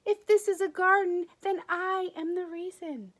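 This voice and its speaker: A woman voicing a garden plant that rustles softly, calmly claiming it is the reason for the garden. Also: extremely high pitch, unhurried, voice pushed up. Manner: soft, rustling, calm.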